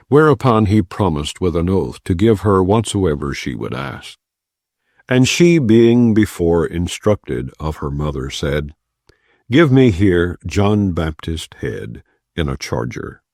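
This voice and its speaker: A man with a very low voice reads scripture slowly and solemnly. His pitch rises and falls a great deal from phrase to phrase. The recording is dry and close, with no room sound. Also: creaky, a little mellow, dry recording. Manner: slow, measured, solemn reading. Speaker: Bible reader. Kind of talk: scripture reading.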